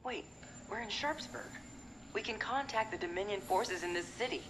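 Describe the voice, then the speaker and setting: An actress playing a guarded, determined young soldier in a video game, terse and military. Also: female voice, medium pitch, moderate pace, noisy background, natural voice. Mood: tense, alert.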